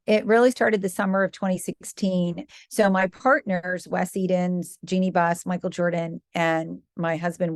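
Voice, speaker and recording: A woman's voice: fairly low pitch, no room sound, clean studio sound. A woman in her fifties from the US Midwest, a podcast guest telling her story with easy laughs. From Michigan, US Midwest. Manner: warm storytelling with light laughs. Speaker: guest, company founder. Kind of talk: podcast interview.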